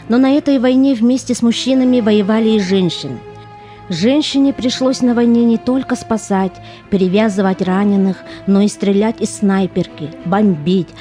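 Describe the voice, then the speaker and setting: A woman's voice: medium pitch, dark tone, close to an everyday voice. A woman delivering solemn, reflective scripted narration as the narrator of an amateur audio play. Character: solemn female narrator reflecting on women at war.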